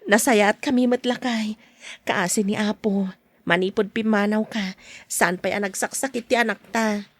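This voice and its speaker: A woman's voice: medium pitch, fairly even intonation, moderate pace, lightly acted. A woman playing a caring, money-worried wife and mother in a podcast drama. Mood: gentle. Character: caring wife and mother, anxious about money, soft-spoken.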